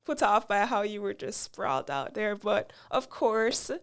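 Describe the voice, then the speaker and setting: A woman in her twenties with a Jamaican accent, co-hosting a casual podcast and telling a story in a lively, laughing way. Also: medium pitch, moderate pace. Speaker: podcast co-host.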